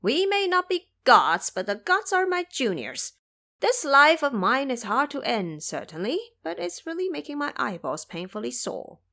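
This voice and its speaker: A woman's voice: high voice, sing-song, normal speed, bright, forceful tone, pitched above the performer's own voice, full character voice. A woman voicing a bold male monkey disciple with polite but boastful speech in an audio drama.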